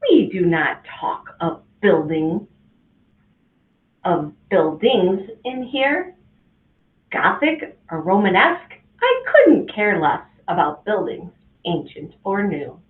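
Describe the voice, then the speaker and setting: Woman voicing a stern schoolteacher, scolding, lively pitch, slow, tinny distant roomy sound. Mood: dismissive. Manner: scolding, emphatic. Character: strict grade-two teacher woman, stern, scolding.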